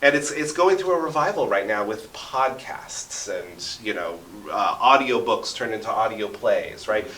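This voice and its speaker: Guy telling local history stories, punchy bright voice, keeps checking in with "right?", roomy and distant from mic. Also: fairly low pitch, lively intonation, unhurried, few pauses. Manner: emphatic, often checks in with "right?". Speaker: interview guest, radio drama producer and local history enthusiast. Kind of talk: animated conversational storytelling. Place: radio studio. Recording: roomy, echoing, away from the mic.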